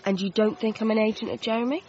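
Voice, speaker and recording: An actress playing a gentle, slightly anxious friend, soft, hesitant and caring, in a radio comedy drama. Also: female voice, on the high side, fairly even intonation, fast talker, slight background noise, lightly acted. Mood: gentle concern. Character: gentle, supportive woman friend, a little anxious. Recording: muffled broadcast, faint music.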